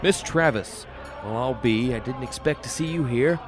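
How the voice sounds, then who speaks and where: A man's voice: mid-range voice, normal speed, music in the background, natural voice. An actor playing a brusque, demanding father of a grown son in an old-time radio drama, blustering as he questions. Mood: impatient, surprised.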